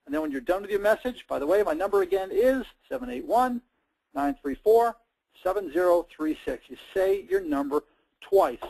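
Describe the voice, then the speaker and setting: A man's voice: sits high, sing-song, moderate pace, gravelly, darkish tone, rough recording. An American man hosting a sales coaching talk in a conversational, emphatic style, with punchy short sentences. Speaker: host, sales trainer.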